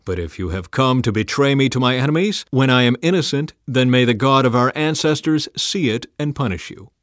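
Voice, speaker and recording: Role: man reading firm, earnest male leader of fighters, scripture audiobook, mid pitch, dry room. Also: expressive, fairly quick, no room sound, natural voice. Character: earnest, principled male warrior leader, protective. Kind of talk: dramatised Bible audiobook.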